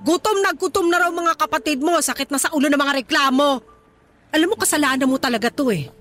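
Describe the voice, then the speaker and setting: A woman's voice: sits high, fast. An actress voicing a middle-aged poor mother who scolds and blames her grown daughter, in a radio drama. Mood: scolding. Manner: sharp, reproachful, nagging. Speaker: actor.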